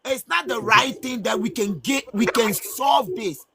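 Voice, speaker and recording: A woman's voice: a little high, a little slow, crowd noise. A woman joining an online live chat as a guest, emotional and stammering, often pleading with others to let her finish. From Ghana.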